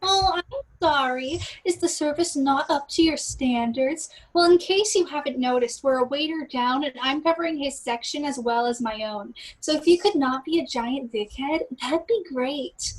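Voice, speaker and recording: A woman's voice: high-pitched, sing-song, takes it slowly, fairly bright tone, heavily acted, poor audio. A woman playing an annoyed, blunt, sarcastic waitress in a comedic play reading.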